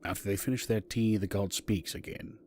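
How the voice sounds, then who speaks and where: Low-pitched man, scripted fantasy narration, narrator role, slightly noisy recording with ambience behind. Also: fairly even intonation, a little fast, darkish tone.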